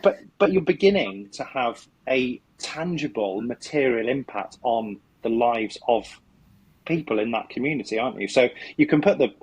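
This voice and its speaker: A man's voice: medium pitch, lively intonation, moderate pace, a little mellow, poor audio. A man co-hosting a football podcast, asking long, thoughtful questions. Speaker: podcast co-host. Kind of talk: podcast interview, casual talk. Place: lives in Sheffield.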